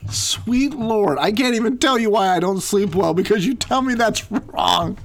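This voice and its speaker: A man's voice: high-pitched, normal speed. A man in his late thirties co-hosting a podcast, joking dryly and mocking himself. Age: 30s. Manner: dry, self-mocking humor, lively intonation. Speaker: podcast co-host. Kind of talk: casual couple chat.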